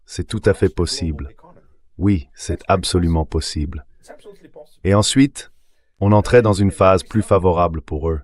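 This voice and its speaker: A low male voice, synthetic in character, reads translated political commentary. It sounds like an AI dub of an interview guest. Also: a little slow, a little mellow. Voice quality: synthetic AI dubbing voice.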